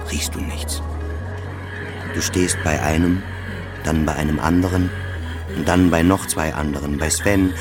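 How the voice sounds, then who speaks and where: A man delivering scripted, introspective first-person literary narration as in a radio play, in short clipped phrases. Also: rumbling low.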